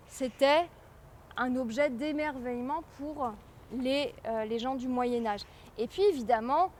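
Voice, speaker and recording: A woman giving an informal public lecture, explaining things with hesitant pauses and repeated words. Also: high voice, a little hiss or hum. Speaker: guest lecturer, medieval historian.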